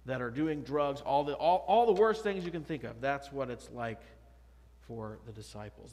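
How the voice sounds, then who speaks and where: Man in his thirties, pastor, sermon, rhetorical questions, pulpit mic, echoing sanctuary. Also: medium pitch. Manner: conversational, emphatic preaching with rhetorical questions. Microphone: pulpit microphone, far camera. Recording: long church reverb.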